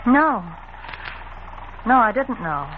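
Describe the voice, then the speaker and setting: High, animated young woman, old radio. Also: takes it slowly, soft background music. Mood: guarded, cool. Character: guarded, proud young woman, jilted and wary. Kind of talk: old-time radio drama acting. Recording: muffled, noisy old radio recording.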